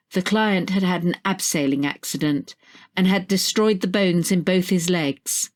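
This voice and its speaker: Female narrator, slightly low voice, reading scripted short fiction. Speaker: story narrator.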